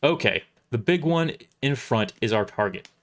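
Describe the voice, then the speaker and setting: A man's voice: medium pitch, lively intonation, moderate pace, lightly acted. A man playing a blunt, wary space fleet admiral giving commands in a sci-fi audio drama. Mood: urgent command.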